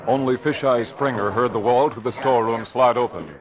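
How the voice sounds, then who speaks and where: A man's voice: mid-range voice, brisk, a crowd in the background. A man narrating as announcer of a 1950s radio drama, with emphatic, theatrical delivery. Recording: dull old radio broadcast recording.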